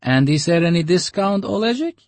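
A man voicing a brash, pushy male broker who haggles loudly. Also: high-pitched, animated, normal speed, no echo, pitched above the performer's own voice. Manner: loud, pushy, bargaining.

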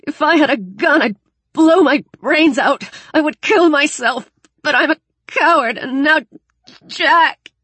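A woman's voice: medium pitch, a little slow, slightly rough, dry recording, voice pushed up. A woman voicing a frightened, grieving teenage boy with a thin, fragile voice in an audiobook story. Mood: fierce despair. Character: frightened, grief-stricken teenage boy, exhausted and despairing.

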